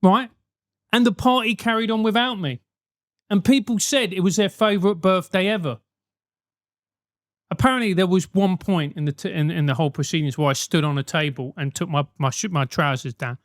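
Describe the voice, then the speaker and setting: A man's voice: high-pitched, brisk, no echo, studio quality. A man with a South London accent, a host giving advice in a casual, emphatic rant, swearing freely.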